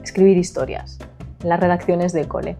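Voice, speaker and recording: A woman with a Spanish accent from Spain answers a podcast interview in a soft, chatty tone. Her voice is mellow and sits a little low, and faint music plays in the background. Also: steady tone, normal speed. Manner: soft-spoken, chatty, laughs easily. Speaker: writer, podcast guest.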